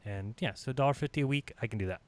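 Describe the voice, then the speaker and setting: A man's voice: medium pitch, rapid-fire, no echo. A man hosting a live online panel chat, upbeat and chatty, laughing often, and feeling like he is losing his voice. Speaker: host.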